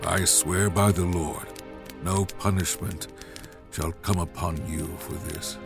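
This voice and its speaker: An actor playing a desperate, frightened king in a dramatized Bible story, halting and trembling. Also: low-pitched, steady tone, vocal fry, over music, full character voice. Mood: solemn. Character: anguished, desperate, frightened king seeking guidance.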